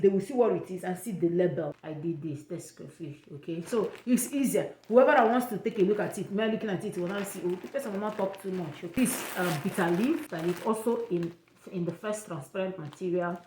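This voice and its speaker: A woman's voice: mid-range voice, lively intonation, brisk, warm tone, echoey room, slight background noise, low-quality recording. A young woman from Nigeria with an Igbo accent, giving chatty, repetitive travel advice in a casual vlog. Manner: chatty, repeats points, pidgin touches.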